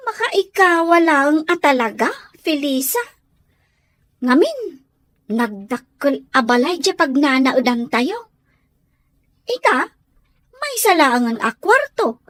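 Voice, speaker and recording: Actress as a very high-voiced young Ilocano woman. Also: fairly bright tone, no echo, heavily acted. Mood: gentle. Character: young woman pining for her beloved. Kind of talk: Ilocano radio drama acting.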